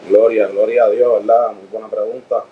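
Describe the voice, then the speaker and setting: A man's voice: lowish voice, little pitch movement, moderate pace. A man in his thirties with a Puerto Rican accent, giving a personal religious testimony as a guest, peppering his speech with tag questions and praise. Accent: Puerto Rican Spanish. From Puerto Rico. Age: 30s. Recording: dull lo-fi audio, faint background noise.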